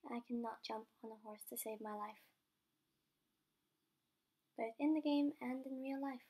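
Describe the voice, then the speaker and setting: A young woman with a cold talks through her gameplay, her high voice husky and croaky. Her tone is mellow and a little dark, and she chats casually, mocks herself and sometimes drops to a whisper. She speaks into a desk microphone. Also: measured pace. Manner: chatty, self-mocking, drops into whispers. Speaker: gaming channel host. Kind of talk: casual game commentary.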